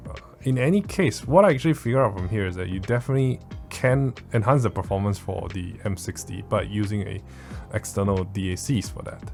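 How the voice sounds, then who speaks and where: A man's voice: on the low side, gravelly. A man giving a casual product review in a blunt, opinionated, casually sweary manner. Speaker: tech reviewer.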